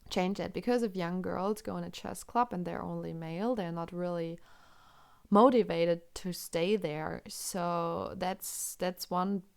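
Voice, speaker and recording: A woman's voice: on the low side, steady tone, measured pace, vocal fry, a little mellow, clean tone. A woman from Germany with a German accent, commentating chess on a live stream, thinking aloud and answering chat questions.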